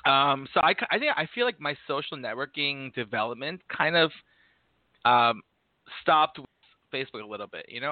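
A man's voice: medium pitch, dry recording. A man in his thirties, co-hosting a podcast in casual chat, playful and campy with laughing asides. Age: 30s. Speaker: podcast co-host. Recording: tinny phone-like call audio, dry.

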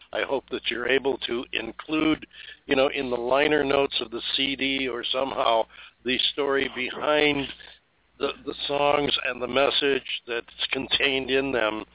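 A man hosting a radio talk show, speaking in a measured way with occasional fillers. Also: medium pitch, dry recording. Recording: tinny lo-fi radio sound.

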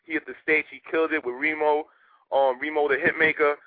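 A man from Haiti, a rapper guest talking casually in a radio interview, animated and streetwise. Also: on the high side, no echo. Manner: animated, streetwise, rapid talk with repeated "you know what I mean". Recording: tinny phone line, dry.

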